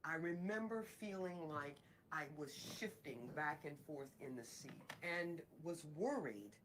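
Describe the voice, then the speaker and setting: Woman recruiter, low strong voice, reflective halting anecdote, faint ambient hiss. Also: animated, fairly bright tone, low-quality recording. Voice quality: fairly strong voice. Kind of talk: personal anecdote in a training video.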